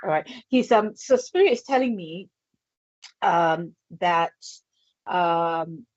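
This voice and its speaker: A woman's voice: on the low side, animated, takes it slowly, no room sound. A woman with a Yorkshire accent giving a psychic reading, thinking aloud with many fillers and restarts. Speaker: psychic medium. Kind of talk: live psychic reading on air. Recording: dull lo-fi sound.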